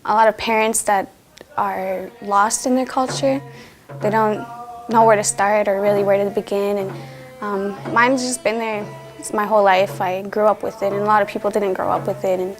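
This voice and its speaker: A woman student speaks in a plain, reflective way during an interview. She uses fillers now and then. Her voice is mid-pitched. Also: steady tone, moderate pace, music in the background. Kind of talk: documentary interview.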